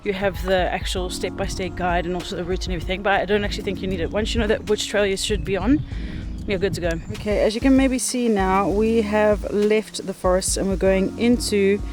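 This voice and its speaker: A woman's voice: medium pitch, fairly even intonation, normal speed. A woman from the Netherlands chatting and narrating casually as a hiking vlogger, with an enthusiastic, informal way of talking. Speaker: travel vlogger and hiker. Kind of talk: casual vlog chat and narration. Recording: music behind the voice. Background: music.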